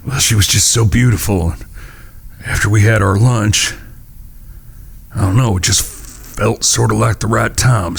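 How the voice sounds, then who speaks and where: Man acting a husky, grief-worn cowboy. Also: fairly low pitch, heavily acted. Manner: halting, folksy, sorrowful. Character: grief-stricken wounded Old West cowboy, devoted, broken. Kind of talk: audio drama acting.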